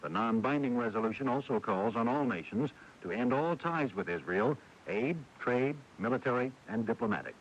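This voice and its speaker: American male radio anchor, mid-pitched, scripted bulletin delivery, muffled early-eighties broadcast with faint hiss. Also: lively intonation, moderate pace, hardly any pauses. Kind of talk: scripted network news bulletin. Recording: dull, hissy 1980s radio broadcast recording.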